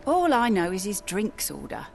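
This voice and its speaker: A woman's voice: mid-range voice, big pitch swings, moderate pace, gravelly, over music. An actress playing a guarded, plain-spoken woman who runs a Victorian port tavern in a video game. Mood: defensive. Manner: wary, matter-of-fact. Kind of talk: video game cutscene acting.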